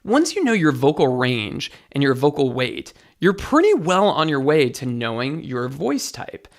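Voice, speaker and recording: A man with a light, bright tenor voice, a vocal coach explaining singing technique as an interview guest. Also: mid-range voice, very animated, unhurried. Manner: enthusiastic teaching, sings short demos.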